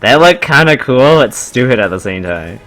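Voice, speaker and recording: Man with lively, swinging pitch. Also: normal speed, faint music behind. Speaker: co-commentator. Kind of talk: casual reactive chat.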